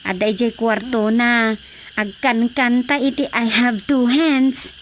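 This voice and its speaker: A woman's voice: a little high, steady tone, slow pace, a little hiss or hum. A woman acting a caring, curious village woman in natural radio drama dialogue. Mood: confiding.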